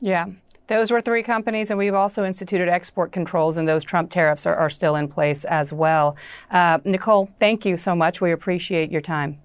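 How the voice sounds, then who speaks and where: Low-voiced woman news anchor. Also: fairly even intonation, clean tone, dry recording. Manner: measured, scripted lead-ins. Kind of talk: news interview hosting.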